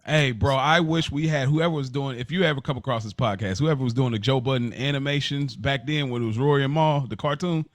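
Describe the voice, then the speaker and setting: A man's voice: mid-range voice, a little fast, few pauses, no room sound. A man co-hosting a podcast in casual chat, easygoing and encouraging, laughing often. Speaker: podcast co-host.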